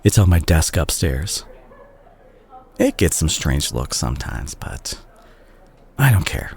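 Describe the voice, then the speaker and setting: A man playing a remorseful, guilt-ridden doctor ex-boyfriend in an intimate romantic audio drama, speaking haltingly and tenderly with a husky voice. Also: extremely low pitch, very animated, a little mellow, exaggerated voice. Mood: wistful. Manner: halting, emotional, with sighs and repeated words. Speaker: audio roleplay performer.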